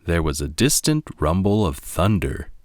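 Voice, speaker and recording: A man narrating a children's storybook as a read-along, with a deliberate, expressive delivery. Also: sits low, no room sound, clean studio sound.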